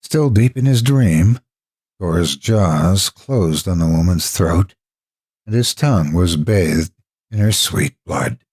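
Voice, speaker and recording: A man narrating scripted horror with deliberate, theatrical phrasing, as a storyteller and host. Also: low-pitched, expressive, darkish tone, breathy edge. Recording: music behind the voice. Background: background music.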